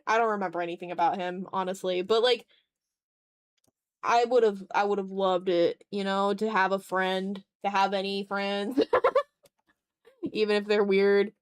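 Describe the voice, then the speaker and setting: Young female art streamer, mid-pitched, casual and slangy, animated, self-deprecating chatter. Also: a little slow, smooth. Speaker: art livestreamer. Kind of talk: casual livestream chat while drawing.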